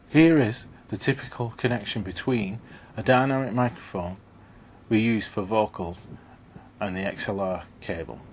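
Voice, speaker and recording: A man's voice: lowish voice, slight background noise. A man giving a scripted, methodical instructional narration in an explanatory way.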